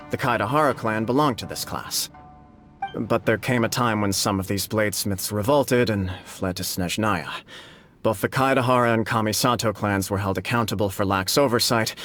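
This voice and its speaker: A man's voice: fairly low pitch, a bit bright, natural voice. A man voicing a polished, persuasive noble official. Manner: polished, formal, carefully persuasive. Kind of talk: video game cutscene dialogue.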